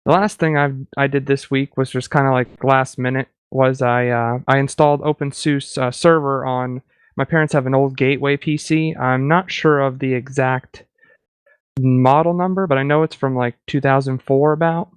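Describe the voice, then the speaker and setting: A chatty man co-hosts a casual tech podcast. His voice is mid-pitched and smooth, recorded close and dry. He talks about himself in a self-deprecating way. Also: fairly even intonation, moderate pace, dry recording. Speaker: podcast co-host.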